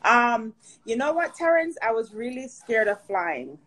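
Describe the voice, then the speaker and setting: A woman's voice: on the high side, very animated, measured pace, over a noisy crowd, rough recording. A woman from Jamaica, a podcast guest, chatting openly and telling a personal story with easy laughs. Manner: open, storytelling, laughs easily. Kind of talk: casual conversational interview.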